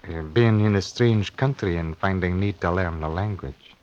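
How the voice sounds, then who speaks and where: A man's voice: low voice, normal speed, lightly acted. An actor in an old radio drama playing a bashful, earnest young Scotsman, hesitant and awkward, with a Scottish accent. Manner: hesitant, awkward, throat-clearing. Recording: muffled old radio recording with hiss.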